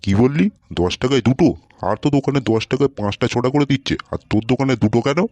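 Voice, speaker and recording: A man's voice: low-pitched, fast, darkish tone, no echo, close to an everyday voice. A man voicing a bad-tempered, foul-mouthed older bully in a comedy cartoon, scolding bluntly. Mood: outraged.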